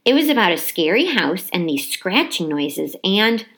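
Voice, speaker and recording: A woman reading a children's chapter book aloud as expressive storybook narration, with dramatic emphasis on key words. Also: on the low side, normal speed.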